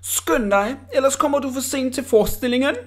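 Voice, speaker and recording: A reader voicing a small, brusque circus cannon king who waves people off, pitched high and loud. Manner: brusque, dismissive.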